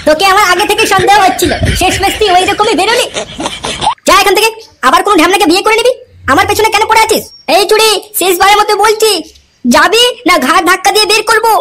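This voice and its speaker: Woman acting bossy jealous cartoon wife, threatening, crude, bright sharp tone, fast talker. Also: very high-pitched, slight background noise. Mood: scolding. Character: jealous, bossy, foul-mouthed wife driving off a rival. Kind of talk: amateur cartoon comedy dialogue.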